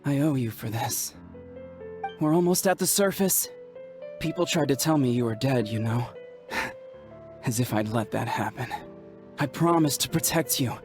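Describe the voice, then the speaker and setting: A woman playing a weary, shaken young female warrior in a video game, speaking haltingly with sighs. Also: a bass voice. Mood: tender, weary. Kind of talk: dramatic video game voice acting. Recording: loud music under the voice.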